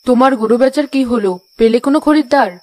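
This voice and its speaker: A woman's voice: high-pitched, quick pace, darkish tone, natural voice. A woman playing a practical, fretful old village wife and mother in a cartoon fairy tale.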